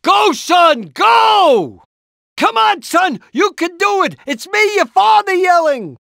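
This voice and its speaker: A man's voice: very high-pitched, big pitch swings, takes it slowly, creaky, sharp tone, no room sound, shouting, studio quality, heavily acted. A man voicing an anxious, encouraging, portly middle-aged rooster father in a cartoon video game, with a hesitant, rambling, fatherly manner. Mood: excited cheering. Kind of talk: animated video game character lines.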